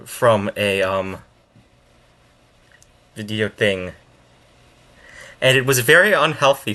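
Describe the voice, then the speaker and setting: A man giving casual, unscripted reaction commentary as a video host, in a halting, rambling way with thoughts that trail off. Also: fairly low pitch, a bit bright.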